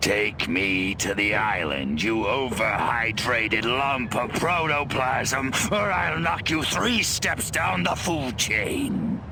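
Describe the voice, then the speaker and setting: Irritable, sarcastic little alien invader. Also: male voice, mid-range voice, animated, slow pace, vocal fry, few pauses, background noise. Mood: threatening. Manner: sarcastic, theatrical, exclamatory. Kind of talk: video game cutscene acting.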